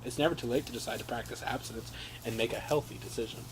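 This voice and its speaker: A man's voice: mid-range voice, steady tone, very fast. A young man reading aloud to people he knows in a mock-earnest, sweary, jokey way, slipping into casual asides. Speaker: reader among his brothers. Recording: noisy amateur home recording.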